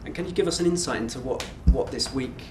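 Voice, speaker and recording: A man's voice: mid-range voice, fast talker. A man working as a sports reporter, tentatively putting and rephrasing questions at a press conference. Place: indoor press conference room. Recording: echoey room, clear background noise.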